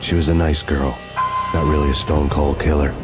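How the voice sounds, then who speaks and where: A bitter, hardboiled ex-cop narrating his revenge in noir voice-over. His voice is extremely deep and barely moves in pitch, brooding and deadpan. A loud music score runs underneath. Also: moderate pace. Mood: grim.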